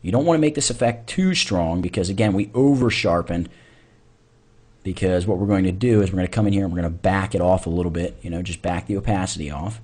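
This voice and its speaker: A man narrating a software tutorial in an informal way, thinking aloud as he demonstrates. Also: low-pitched, fast, slightly rough. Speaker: tutorial instructor.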